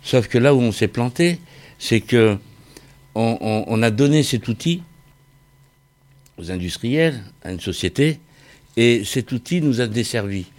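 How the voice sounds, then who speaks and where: A middle-aged man speaking in a group discussion, insistent and halting, often repeating phrases as he argues his opinion. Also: medium pitch, animated, a little mellow. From Vietnamese origin. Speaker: discussion participant, former craftsman and sound technician.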